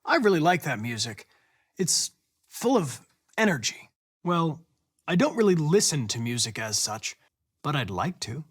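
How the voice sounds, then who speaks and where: An android cop from a video game, lightish higher voice, polite n analytical, very exact formal wording, controlled but earnest. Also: male voice, very animated, fairly bright tone, slightly rough, heavily acted. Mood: friendly, tentative. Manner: precise, formal, measured. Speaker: android detective.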